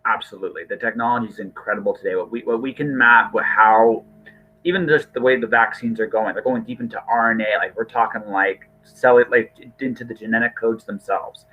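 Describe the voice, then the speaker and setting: A low-voiced man hosts a casual podcast over a thin, phone-like connection. He talks in loose, conversational runs, doubling back to repeat and reword his thoughts. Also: a little fast, slight background noise. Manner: hesitant, repeats and rephrases himself, hedging. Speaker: podcast host. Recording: thin, band-limited phone-like call audio.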